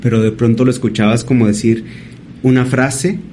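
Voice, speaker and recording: A man, a painter as guest, telling stories in a thoughtful, halting way. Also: mid-range voice, steady tone, dark tone, a little hiss or hum. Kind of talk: casual interview storytelling.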